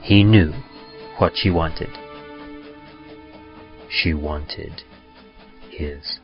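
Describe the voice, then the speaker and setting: Male horror narrator, low and unhurried, suspense-driven delivery, muffled recording. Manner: suspenseful, swells and drops for tension. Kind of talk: dramatic horror story reading. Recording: dull lo-fi recording with music.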